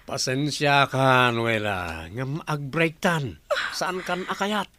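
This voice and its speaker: An actor playing a young womanizer in a radio drama, cocky but protective, with a creaky voice. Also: medium pitch, expressive, takes it slowly, noisy background. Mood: callous, unrepentant. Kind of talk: radio drama acting in Ilocano.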